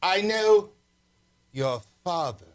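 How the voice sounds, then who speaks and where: A man's voice: high voice, lively intonation, slow pace, clear, resonant tone. A man playing a stern, commanding alien prince who leads rebels, in formal old-time radio serial acting.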